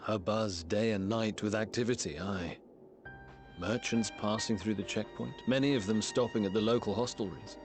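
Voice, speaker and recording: A man voicing a courteous, measured soldier in a video game, with formal, old-fashioned phrasing. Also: fairly low pitch, faint music behind, natural voice. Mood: grave, measured.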